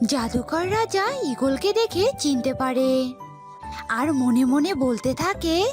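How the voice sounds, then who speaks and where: A woman's voice: high-pitched, expressive, slow, over music. A woman reads scripted narration for a children's cartoon story.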